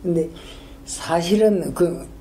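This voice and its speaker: An older man with a strong Gyeongsang dialect, a retired school principal telling his story in a halting, rambling way in an oral history interview. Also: a little high, a crowd in the background. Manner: halting, rambling, many fillers and restarts.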